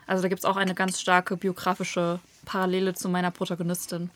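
A woman's voice: on the low side, completely flat delivery, a little fast, no room sound. Reflective young woman author, dry sound. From Germany. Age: young adult. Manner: thoughtful, searching, with hedging fillers. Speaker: novelist guest. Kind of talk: reflective interview talk.

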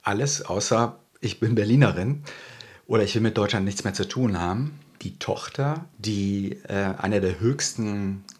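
A man answering interview questions in a thoughtful way, often pausing and searching for words. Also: fairly low pitch, darkish tone, breathy edge. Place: Berlin.

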